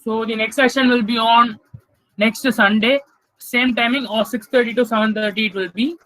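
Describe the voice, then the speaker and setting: A man's voice: extremely high pitch, steady tone, normal speed, bright, forceful tone, no echo, low-quality recording. A young man with an Indian English accent coordinating a webinar Q&A over a video call, polite, saying "sir" often and stumbling over words. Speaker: student event coordinator. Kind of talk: hosting a webinar Q&A.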